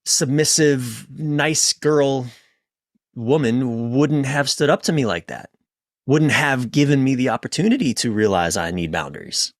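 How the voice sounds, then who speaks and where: A man speaking as a podcast guest and relationship coach, telling personal stories in an informal, emphatic, sometimes halting way with casual swearing. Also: mid-range voice, lively intonation, no room sound, studio quality.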